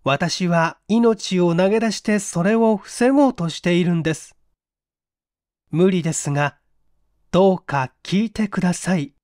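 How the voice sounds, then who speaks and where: A woman voicing an earnest, urgent male secret agent, polite and measured, in a dramatic audiobook reading. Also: on the low side, darkish tone, no echo, studio quality, voice pushed down, close to an everyday voice. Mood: urgent, pleading.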